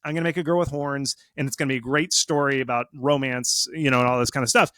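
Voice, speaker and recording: A man giving casual, animated explanations as an expert guest in an interview, speaking informally and with emphasis. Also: mid-range voice, fast, no echo, clean studio sound. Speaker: video game marketing expert, interview guest.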